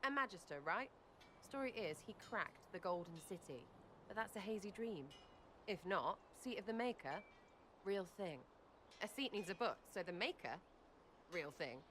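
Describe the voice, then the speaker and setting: An actress playing a brash, irreverent young elf archer in a video game, with a blunt, slangy manner. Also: female voice, mid-range voice, animated, normal speed, fairly bright tone, slight background noise, close to an everyday voice. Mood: agitated, incredulous. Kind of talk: video game character acting.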